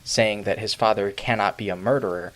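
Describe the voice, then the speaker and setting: A man narrating a scripted video essay as its host, in a measured, formal, literary way. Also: lowish voice, fairly even intonation, fairly bright tone.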